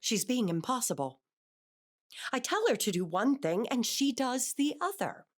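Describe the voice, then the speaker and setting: A woman's voice: fairly high pitch, very animated, measured pace. A woman acting an anxious, controlling middle-aged wife and mother in an audio drama, with an earnest, exacting manner. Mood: exasperated. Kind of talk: audio drama acting, confessional monologue and dialogue.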